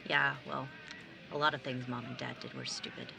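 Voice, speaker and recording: A woman's voice: extremely low pitch, sing-song, moderate pace, creaky, music in the background, exaggerated voice. A young woman playing a sarcastic, blunt nineteen-year-old younger sibling in a naturalistic audio drama. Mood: casual, guarded. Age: young adult. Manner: sardonic, blunt, casual.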